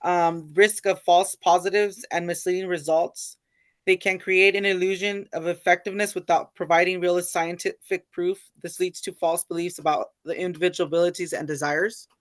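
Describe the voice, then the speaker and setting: A young college woman reading questions aloud and answering haltingly, with many "uh"s and "you know"s. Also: lowish voice, fairly even intonation, no room sound. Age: young adult. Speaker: college student.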